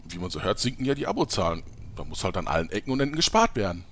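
Actor as a wildly animated, swaggering show-off. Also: sits low, racing, a little hiss or hum. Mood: mock-aggrieved. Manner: theatrical, swaggering. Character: self-important, jokey male show-off with theme music.

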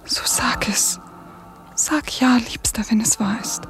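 A woman's voice: a little high, takes it slowly, gravelly, fairly bright tone, faint music behind, full character voice. An actress playing a tender, anguished young revolutionary woman in a German radio drama, intense and pleading, often dropping to a whisper. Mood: urgent pleading.

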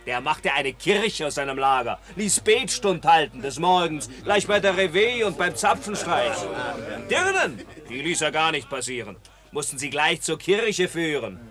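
A man's voice: a little high, very animated, moderate pace, fairly bright tone, faint music behind. A man in a 1950s radio play acting a carefree, swaggering rifleman who declaims boastful, mocking rhymed verse. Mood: boastful, defiant.

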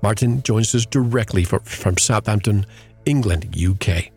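A lower-pitched man hosts a radio interview show, speaking in a measured, earnest manner. He moves from a scripted introduction into inviting questions for his guest. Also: a little mellow, husky, soft background music.

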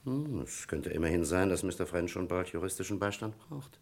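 Police captain, radio drama, deep, highly animated intonation, dry, probing, formal interrogation manner. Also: male voice, brisk. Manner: interrogating, formal, pointed questions. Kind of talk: German crime radio play acting.

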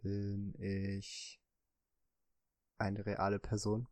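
Slow, deep-voiced man, hesitant. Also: monotone, darkish tone, no echo. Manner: hesitant, many drawn-out fillers. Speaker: podcast co-host. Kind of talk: casual guessing game chat.

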